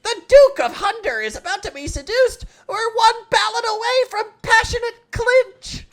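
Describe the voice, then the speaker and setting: A man voicing a pompous, frantic male bard customer with a shouted, sing-song, melodramatic delivery. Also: very high voice, expressive, normal speed, soft background music, voice pushed up, full character voice. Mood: theatrical.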